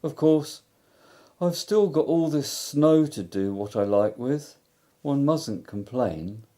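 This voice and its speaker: A man voicing a gloomy, melancholy old male donkey who grumbles slowly and mournfully. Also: medium pitch, animated, mellow, pitched above the performer's own voice. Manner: slow, mournful, self-pitying.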